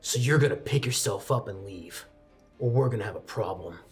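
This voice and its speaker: A man's voice: fairly low pitch, lively intonation, brisk, darkish tone, faint music behind, close to an everyday voice. A man playing an arrogant, hostile young male demon slayer in a tabletop game, curt, condescending and threatening. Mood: stern, threatening.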